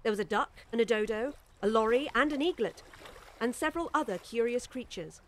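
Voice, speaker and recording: A woman's voice: on the high side, expressive, brisk, slight background noise. A woman narrating a scripted children's audiobook story.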